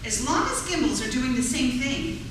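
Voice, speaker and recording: A woman playing a brisk, eager female store executive in a 1940s-style radio play on stage. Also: high-pitched, big pitch swings, a bit bright. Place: community theatre performance. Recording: echoey theatre stage, distant mic, faint noise.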